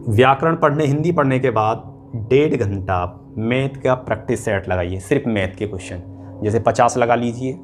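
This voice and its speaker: A man's voice: mid-range voice, a little mellow. Male exam coach, mid-range voice, informal explanatory lecturing with check-in tags, some noise behind. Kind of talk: informal instructional lecture to students.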